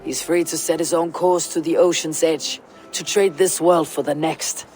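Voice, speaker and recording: Woman playing a steady, blunt Viking warrior. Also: low-pitched, normal speed, close to an everyday voice. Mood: firm, grave. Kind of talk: dramatic video game acting. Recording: music behind the voice.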